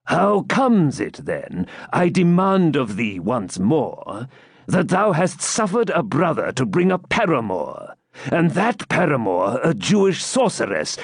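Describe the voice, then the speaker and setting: A man voicing a severe elderly Grand Master of a holy order, speaking slowly and forcefully as he accuses. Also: on the high side, big pitch swings, creaky, breathy edge, dry recording, clean studio sound, voice pushed up, full character voice. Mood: stern. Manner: slow, forceful, accusing delivery.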